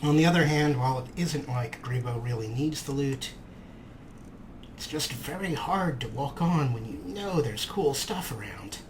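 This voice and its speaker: A man's voice: mid-range voice, expressive, moderate pace. A man hosting a let's play with casual gameplay commentary. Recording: clear background noise from game.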